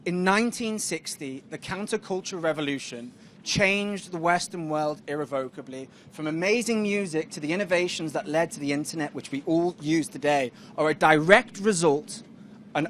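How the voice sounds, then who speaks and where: A man giving a loud, confident startup pitch on a moving tram, bantering with hecklers. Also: slight background noise.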